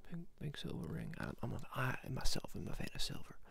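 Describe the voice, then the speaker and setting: A man playing a quirky, rambling ring salesman in a soft ASMR roleplay, murmuring and whispering, halting and repeating himself as he coaxes the customer. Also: medium pitch, exaggerated voice. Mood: salesy, musing. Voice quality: whispery, breathy murmur with gravelly fry. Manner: halting, repeats and trails off playfully.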